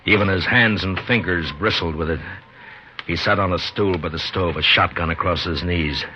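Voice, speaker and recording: An actor playing a weary frontier marshal, narrating a 1950s radio western in a brooding, terse way. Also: male voice, deep voice, normal speed, a little hiss or hum, close to an everyday voice. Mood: low, wary. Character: weary, grim frontier marshal recalling a hard ride. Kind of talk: 1950s radio drama first-person narration. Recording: dull 1950s radio recording, loud music.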